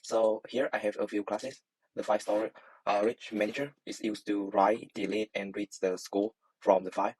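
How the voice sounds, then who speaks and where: A young man with a Vietnamese accent, a native Vietnamese speaker, walking through his code in a halting student demo with frequent filler sounds. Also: fairly low pitch, level tone, darkish tone, breathy edge, rough recording. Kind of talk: screen-recorded code walkthrough and app demo.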